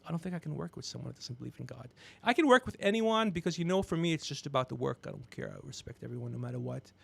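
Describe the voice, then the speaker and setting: A man's voice: fairly high pitch, lively intonation, fast, gravelly, breathy edge. A man in his fifties hosting a casual comedy livestream, rambling, teasing and swearing as he talks. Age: 50s. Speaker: podcast host.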